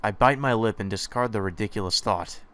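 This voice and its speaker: A man's voice: lowish voice, brisk, a bit bright. A man reading a visual novel aloud in first person as the hero, with sarcastic asides of his own. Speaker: let's play narrator.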